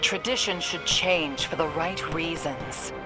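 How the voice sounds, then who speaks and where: An actress playing a composed, grave warrior mentor in a video game, speaking in a measured way. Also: female voice, low voice, music in the background. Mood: resolute. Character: composed, reform-minded warrior mentor of a holy order. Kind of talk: dramatic video game cutscene acting.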